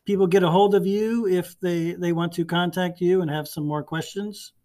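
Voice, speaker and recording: A man who is a librarian hosting a school podcast, friendly and asking questions with many pauses and fillers. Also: high voice, fairly even intonation, warm tone.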